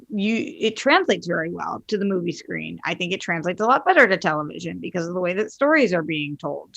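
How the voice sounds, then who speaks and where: Female podcast panelist with gravelly fry, casual and opinionated, loose with profanity, recorded dry. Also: on the low side, fairly bright tone, no room sound. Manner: informal, sweary.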